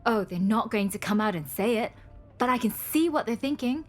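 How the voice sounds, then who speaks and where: A woman's voice: fairly high pitch, lively intonation, brisk, faint music behind. An actress playing a hurt, bitter, vulnerable woman in a radio soap.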